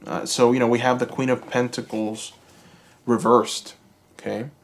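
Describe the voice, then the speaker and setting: A Cuban American man giving a calm, reassuring tarot reading, speaking slowly and soothingly to his viewers. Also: mid-range voice. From United States. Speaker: tarot reader. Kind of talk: pick-a-card tarot reading.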